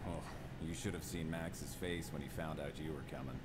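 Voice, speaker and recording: Young game police officer, deep voice, easygoing, welcoming, loud rumbling noise behind. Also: male voice, steady tone, a little fast. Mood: friendly, welcoming. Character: friendly young male police squad veteran. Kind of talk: video game voice acting. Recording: noisy, rumbling game audio under commentary.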